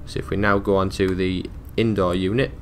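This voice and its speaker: A man presenting a technical support tutorial, talking through software steps in a casual, informal, matter-of-fact way. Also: deep voice, little pitch movement, fairly quick, slight background noise. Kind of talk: casual step-by-step software walkthrough.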